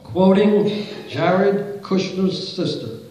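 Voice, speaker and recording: A man's voice: high voice, extremely slow, a little mellow. Man at a podium, very echoey hall. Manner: pointed, confrontational questioning. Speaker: public commenter at a council meeting. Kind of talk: public comment, reading quotes aloud. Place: large council chamber, indoors. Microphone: podium mic, recorded by a far camera in the audience.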